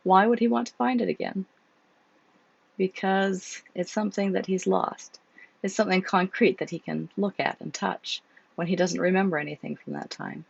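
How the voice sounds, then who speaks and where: A woman with a cold reading her novel aloud, voicing a guarded man with a troubled past who talks things through in a measured way, her voice hoarse and gravelly. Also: mid-range voice, steady tone, no room sound, dull audio. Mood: thoughtful.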